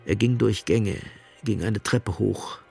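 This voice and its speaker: Gravelly, low male drama narrator. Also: takes it slowly, warm tone, faint music behind. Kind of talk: literary narration in a radio play. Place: dry, close studio.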